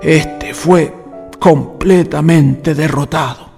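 A man's voice: on the high side, slow pace, vocal fry. A man narrating a historical radio drama from a script in a dramatic style. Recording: long reverb, music behind.

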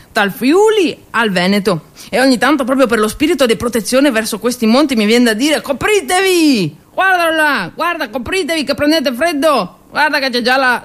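A woman's voice: on the high side, fairly bright tone. A woman performing a theatrical monologue as a cheerful, naive, motherly mountain peasant who carries wartime supplies, chatty and self-mocking. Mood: motherly scolding. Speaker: solo stage actress.

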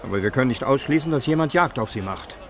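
An actor playing a sober, cautioning police officer in a radio drama, speaking formally and with measure. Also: male voice, medium pitch, animated, fast talker, a crowd in the background, natural voice. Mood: serious concern.

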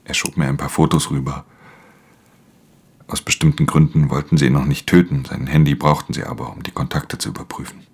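A man acting in a German radio play as a sullen, guarded thief who also narrates, terse and deadpan in a gravelly, husky voice. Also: rumbling low, brisk, heavily acted. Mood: detached, guarded.

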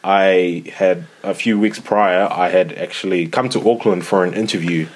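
A young man in his twenties from Zimbabwe co-hosts a relaxed podcast. His voice sits fairly low, and he hesitates often, filling gaps with "uh" and "um" and restarting his sentences. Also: normal speed. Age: 20s. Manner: hesitant, many fillers and restarts. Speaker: podcast co-host. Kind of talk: casual podcast chat.

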